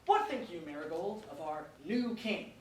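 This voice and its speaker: Woman playing lively teasing jester, echoey and distant. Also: on the low side, normal speed, lightly acted. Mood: conspiratorial. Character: teasing, flirtatious male court jester, quick-witted. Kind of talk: staged reading of verse comedy. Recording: distant echoey room with faint noise.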